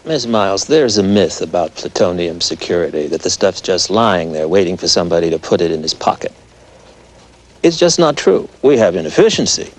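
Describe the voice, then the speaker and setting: A man's voice: sits low, very animated, fairly quick, full character voice, low-quality recording. A man playing a blunt, skeptical scientific expert in a TV interview, dismissive and plain-spoken. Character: blunt, skeptical male nuclear research expert. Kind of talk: televised expert interview acting.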